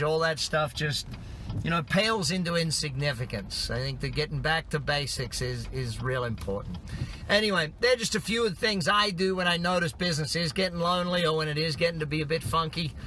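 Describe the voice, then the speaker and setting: A man's voice: a little high, fairly quick, pushed and bright. A middle-aged man from Australia, a business coach, giving candid, conversational advice while driving and repeating his key points. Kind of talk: casual motivational talk while driving. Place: inside a car. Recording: road noise from a moving car.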